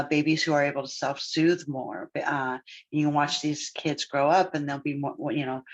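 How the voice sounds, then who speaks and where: A podcast co-host and therapist talking through family background in a reflective, measured way, with frequent pauses and fillers. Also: mid-range voice. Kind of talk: casual podcast discussion. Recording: dull, lo-fi sound.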